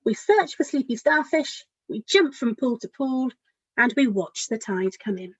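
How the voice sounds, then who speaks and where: A woman's voice: a little high, lively intonation, moderate pace, slightly rough, no echo, dull audio. A woman children's author reading a picture book aloud expressively, speaking directly to young listeners.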